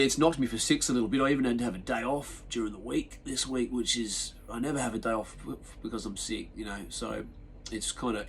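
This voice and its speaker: A lower-voiced Australian man, congested and a little nasal, talks alone into his podcast mic in an easygoing, meandering way. He restarts sentences and fills gaps with ums, with a faint hum of noise behind him. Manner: rambling, laid-back storytelling with frequent ums and restarts. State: nasal and chesty from a sinus infection. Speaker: podcast host. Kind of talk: casual solo podcast chat.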